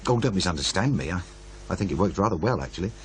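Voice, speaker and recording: A male radio producer in an audio drama, wry and needling. He muses and insinuates, with a lively, swinging intonation. A faint hum sits behind the voice. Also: low voice, very fast.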